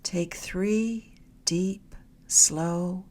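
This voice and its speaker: Female meditation guide, slightly low register, soft and a touch breathy, gentle unhurried delivery with long pauses. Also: fairly even intonation. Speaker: meditation guide, mindfulness mentor.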